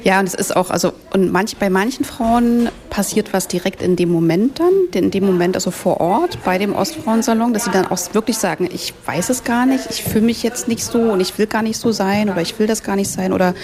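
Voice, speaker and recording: A woman's voice: mid-range voice, brisk. Thoughtful woman over crowd chatter. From eastern Germany. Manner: thoughtful, searching, self-questioning. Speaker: founder of a women's salon, interviewee. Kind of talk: reflective interview answers.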